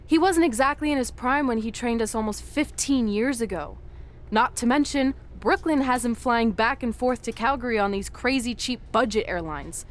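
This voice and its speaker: A woman's voice: fairly high pitch, moderate pace, lightly acted. A woman playing an exasperated, sarcastic female wrestler in a video game. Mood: wry. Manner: wry, exasperated.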